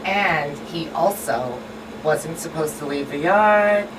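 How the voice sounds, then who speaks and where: Low-voiced woman co-host, noisy room. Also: takes it slowly. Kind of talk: casual radio conversation. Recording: distant pickup, clear hum of room noise.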